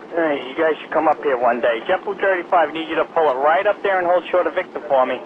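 A man's fairly high voice comes through a noisy radio channel, clipped and brisk. He directs pilots as a ground controller, sounding exasperated and cracking dry jokes between orders. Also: phone-quality sound. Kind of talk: air traffic control radio.

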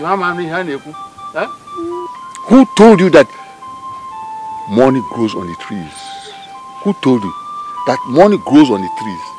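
A man's voice: medium pitch, natural voice, low-quality recording. A man playing a bitter, scolding older Igbo father in a film drama, with a Nigerian Igbo accent and an emphatic, repetitive way of reproaching. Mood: angry scolding. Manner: emphatic, repeats himself reproachfully. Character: bitter, scolding old Igbo father blaming his wife. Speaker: actor.

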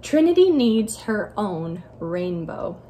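Animated American woman reading to children. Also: mid-range voice, takes it slowly, slight background noise. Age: young adult. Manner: expressive, careful enunciation for children. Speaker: library storyteller. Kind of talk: children's storytime read-aloud. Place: living room at home, indoors.

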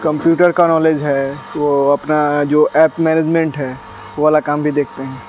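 A man in his thirties answers interview questions outdoors at night, his voice sitting fairly high for a man. He explains at length, stopping often on "uh" and slipping in English business words. Faint crickets and outdoor noise sit behind him. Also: flat delivery, normal speed, a little mellow, low-quality recording. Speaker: dairy business partner. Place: outdoors at night beside a delivery van.

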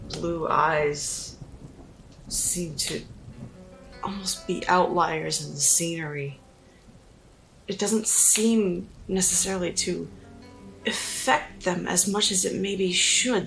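Slow, creaky-voiced woman describing a character. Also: fairly low pitch, fairly bright tone, low-quality recording. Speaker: player in a role-playing podcast. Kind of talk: improvised character description in a tabletop game.

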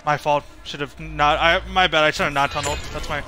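Bright-voiced man streaming a game. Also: fairly high pitch, fairly even intonation, a little fast, soft background music. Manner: rambling, self-critical, thinking aloud. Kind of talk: casual live gameplay commentary.

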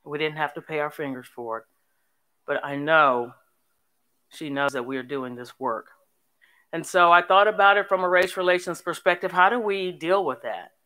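Older female commencement speaker, forceful and resonant, earnest delivery, emphasising key phrases at a podium. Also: low-pitched, moderate pace. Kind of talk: formal inspirational public speech. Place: on stage in a large hall at a graduation ceremony. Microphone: podium microphone.

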